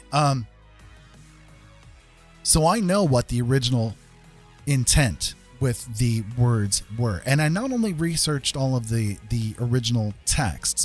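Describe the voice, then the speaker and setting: A man with a medium-pitched voice hosts a casual livestream with music behind him. He speaks in an opinionated, conversational manner, leaning on key points and regularly checking that the listener follows. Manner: emphatic, conversational, often checking with "right?" and "okay?". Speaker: livestream host.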